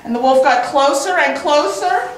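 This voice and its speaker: Very echoey, animated woman storyteller. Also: high-pitched, slight background noise. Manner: animated, dramatic pauses and repetition for young listeners. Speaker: teacher reading a bedtime story. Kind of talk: expressive children's storytelling. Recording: very echoey room.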